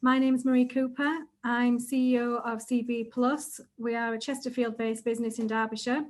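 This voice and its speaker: A middle-aged woman, a chief executive giving a measured, businesslike introduction on a panel. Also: fairly high pitch, level tone.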